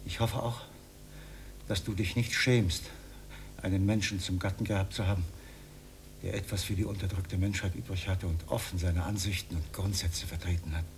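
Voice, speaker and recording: A man's voice: low voice, steady tone, moderate pace, gravelly. An actor playing an earnest, pedantic, lonely old man in a radio drama, speaking formally. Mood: solemn, grave. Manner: solemn, formal, wordy. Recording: faint background noise, old radio recording.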